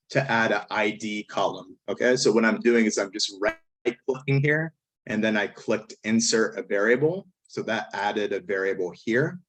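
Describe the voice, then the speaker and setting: A man with a hoarse, failing voice who often clears his throat, walking step by step through a statistics software tutorial over a screen recording. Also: fairly low pitch, low-quality recording. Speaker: statistics instructor. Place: indoors at a computer, voice-over on screen capture.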